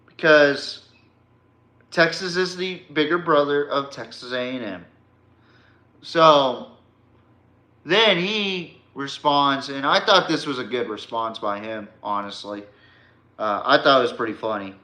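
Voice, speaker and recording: Guy doing a blunt sports podcast rant, slow halting talk, sharp bright voice, kinda mocking. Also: medium pitch, echoey room. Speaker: podcast host.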